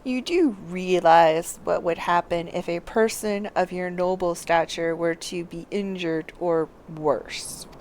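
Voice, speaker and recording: A woman's voice: lowish voice, slow, hardly any pauses, a little mellow, a little hiss or hum, voice pushed down. A woman reading out the part of a worried, deferential servant from a game, formal and pleading. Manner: formal, pleading, reading quest text aloud.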